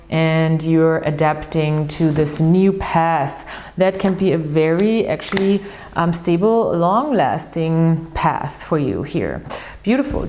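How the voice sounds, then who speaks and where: Low-voiced woman. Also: a little mellow. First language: German. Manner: chatty and earnest, restarts often, repeats words for emphasis. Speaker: tarot reader and channel host. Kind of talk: casual tarot reading while shuffling cards.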